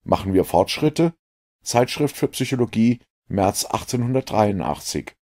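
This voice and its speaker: A man voicing an earnest, sceptical gentleman companion in an audiobook, speaking with emphatic, forceful delivery. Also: lowish voice, animated, measured pace, pitched above the performer's own voice. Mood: reading aloud. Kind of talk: audiobook character reading.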